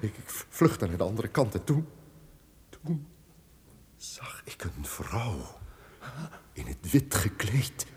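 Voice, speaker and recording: An actor playing a tyrannical, haunted and wrathful duke in an old radio drama, declaiming melodramatically. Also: male voice, on the low side, sing-song, slow pace, pauses often, darkish tone, full character voice. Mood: haunted, shaken. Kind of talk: old radio play acting. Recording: noisy old radio recording.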